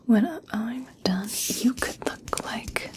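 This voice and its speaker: A woman playing a gracious, coaxing elf princess in a whispered ASMR roleplay, speaking softly and soothingly with formal politeness. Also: fairly high pitch, expressive. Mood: soothing, inviting. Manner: soft, slow, soothing, formal. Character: regal elf princess, gracious, coaxing, subtly conditional kindness.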